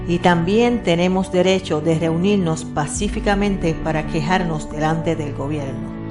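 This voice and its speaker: A woman hosting a Spanish-language interview, reading a measured, earnest introduction and asking questions. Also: lowish voice. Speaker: host and interviewer. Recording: music playing behind the voice.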